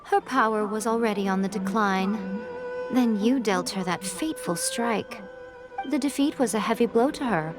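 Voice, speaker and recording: Actress as poised priestess, medium-pitched female voice, coy, teasing, eloquent, video game dialogue, music behind. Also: normal speed, lightly acted. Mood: mock sympathy. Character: sly, teasing, poised shrine priestess, adult woman.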